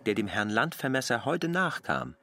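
Calm, stubborn male caller, terse and insistent, mid-range voice with lively inflection, radio play. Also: mid-range voice, normal speed. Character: calm, stubborn man posing as a surveyor's assistant.

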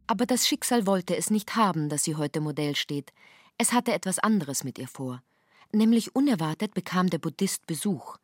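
Low-voiced female literary narrator. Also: lively intonation, moderate pace, dry recording, faint music behind. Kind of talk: literary narration in a radio drama.

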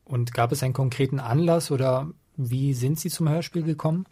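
Husky, mellow male interviewer. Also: mid-range voice, a little fast. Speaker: radio interviewer. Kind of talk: measured interview questions.

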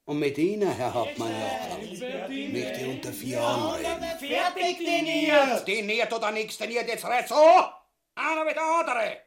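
Ein Schauspieler mit österreichischem Akzent spielt in einer grotesken Hörspielkomödie einen gefräßigen, feigen, vulgären Thronräuber, der derb und großspurig poltert. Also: male voice, very high voice, sing-song, moderate pace, fairly bright tone, soft background music, exaggerated voice. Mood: bossy, furious. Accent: Austrian. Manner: ranting, crude, bombastic. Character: gluttonous cowardly vulgar usurper Father Ubu. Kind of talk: grotesque comedy radio play acting.

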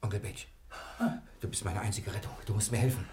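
Guy playing sly cheeky thief on the run, lowish voice, coaxing n fussing, old radio drama with some hiss. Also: steady tone, brisk, natural voice. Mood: urgent pleading. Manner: fussing, coaxing mutter to himself. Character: cunning, cheeky male fugitive thief coaxing his uncle. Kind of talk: 1960s German radio play acting. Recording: noisy 1960s radio play recording.

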